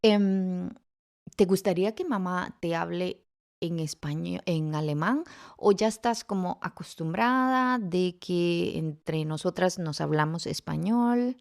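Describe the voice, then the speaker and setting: A woman hosting a podcast interview, gently prompting her child and offering words when she gets stuck. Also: fairly low pitch, slow, vocal fry. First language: Spanish. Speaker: podcast host, mother.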